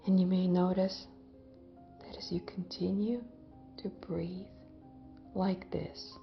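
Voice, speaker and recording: Woman doing guided meditation, really slow and gentle, lowish voice that trails off soft, music behind. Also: little pitch movement, gravelly, highs cut off. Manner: gentle, unhurried phrasing with long pauses. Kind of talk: guided visualization, soothing hypnotic narration. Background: background music.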